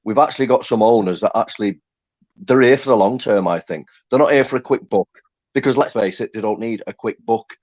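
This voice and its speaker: A man's voice: lowish voice, a little fast, a little mellow, no echo, muffled recording. A man from Lancaster hosting a fan forum, chatting in an enthusiastic, matey way. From Lancaster, England. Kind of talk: casual fan chat.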